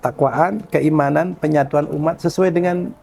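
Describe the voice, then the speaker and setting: A man's voice: fairly high pitch, fairly even intonation, normal speed, vocal fry, a little mellow, slight background noise. A man hosting a casual religious discussion, informally posing questions and seeking agreement. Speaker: podcast host.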